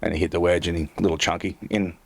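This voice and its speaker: A man's voice: low-pitched, flat delivery, quick pace, creaky. A man who works as a tour caddy, telling rambling, self-deprecating stories in a casual podcast chat. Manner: rambling, self-deprecating, laughs through anecdotes.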